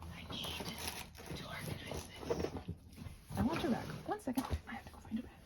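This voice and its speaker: A woman chatting in a rambling ASMR craft-video style, thinking aloud in a scattered way, with a breathy voice that often slips into a whisper. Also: high voice, big pitch swings, brisk, very noisy. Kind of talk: rambling ASMR craft chat while painting.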